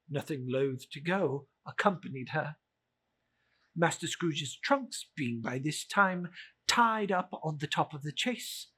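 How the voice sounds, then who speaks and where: Audiobook narrator for classic fiction: unhurried, slightly rough-edged voice, expressive and theatrical. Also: mid-range voice. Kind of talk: dramatic audiobook reading of a classic story.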